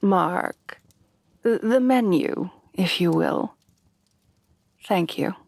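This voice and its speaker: A woman playing a refined, tender headless horsewoman bride in a soft-spoken ASMR roleplay, with formal, genteel phrasing. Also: lowish voice, animated, extremely slow, dry recording, heavily acted. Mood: tender, reassuring. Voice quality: soft, breathy at times.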